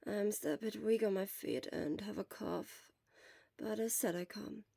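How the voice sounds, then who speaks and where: A woman voicing a weak, irritable man who mutters curtly in a breathy whisper, in an audio story. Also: mid-range voice, measured pace, dark tone, no echo, clean studio sound. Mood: weak, hesitant. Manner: muttering, curt. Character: grumbling, weak teenage-to-adult man, irritable and guarded.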